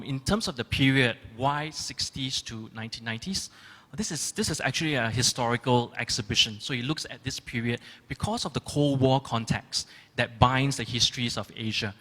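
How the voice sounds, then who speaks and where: Male curator, measured and halting, mid-pitched, in a reverberant auditorium. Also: sharp tone. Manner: thoughtful, hesitant, many ums. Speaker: curator on a panel. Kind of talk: panel discussion answers. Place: large gallery auditorium. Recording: long reverb of a large hall.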